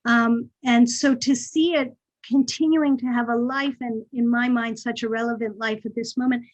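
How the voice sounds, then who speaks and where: A woman's voice: fairly high pitch, fairly even intonation, normal speed. A woman hosting a casual online conversation with artists and encouraging her guests. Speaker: host. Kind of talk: casual video-call chat.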